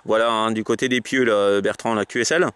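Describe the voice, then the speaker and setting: A man chatting casually as a radio operator relaying messages, halting and repeating himself as he goes. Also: on the low side, steady tone, a bit bright, a little hiss or hum. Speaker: amateur radio operator relaying between contacts. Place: outdoors.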